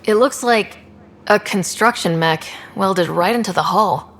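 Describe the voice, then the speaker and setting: A woman's voice: mid-range voice, measured pace, a bit bright, a little hiss or hum. An actress playing a young, wry woman who leads a starship crew in a video game, speaking conversationally. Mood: matter-of-fact. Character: young woman space explorer, wry, steady ship leader.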